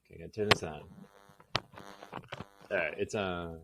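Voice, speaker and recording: Man, fairly low voice, casual video reviewer, goofy, self-deprecating, rambling to himself. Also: fairly even intonation, moderate pace, gravelly, heavy background noise. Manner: talks to himself while working. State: tired. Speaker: product reviewer and video host. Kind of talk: casual, rambling unboxing and product demo.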